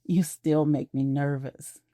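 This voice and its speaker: A woman's voice: deep voice, steady tone, slow, dark tone, dry recording, clean studio sound. A woman voicing a proud, attentive middle-aged husband in an audio drama, with a tender, courteous manner. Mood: affectionate.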